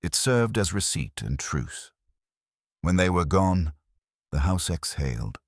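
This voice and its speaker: A man narrating a scripted first-person detective story in a dry, wry, measured way. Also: sits low, very animated, dry recording. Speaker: audiobook narrator.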